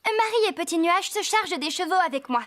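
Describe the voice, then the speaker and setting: A woman playing a bossy but helpful, practical teenage girl in a French cartoon dub, brisk and insistent. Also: very high voice, expressive, fairly bright tone. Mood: determined. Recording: music behind the voice.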